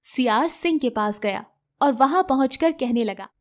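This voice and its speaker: Female narrator, high register, scripted storytelling for children's fables. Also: expressive, moderate pace.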